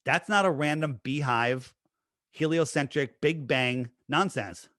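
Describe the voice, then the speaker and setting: A man's voice: on the high side, a little slow, fairly bright tone, no echo, clean studio sound. Emphatic man firing rhetorical questions. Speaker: interview guest. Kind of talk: conversational interview.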